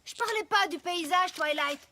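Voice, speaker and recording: A woman's voice: very high voice, normal speed, pushed and bright. A woman voicing a feisty, competitive female pony with a blunt, plain-spoken manner in a French cartoon dub. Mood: indignant. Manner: blunt, indignant.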